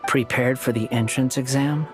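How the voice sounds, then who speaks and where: An actor playing an aloof, sardonic young wanderer in a fantasy video game, terse, dry and guarded. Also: male voice, medium pitch, steady tone, normal speed, music in the background, natural voice. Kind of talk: video game cutscene acting.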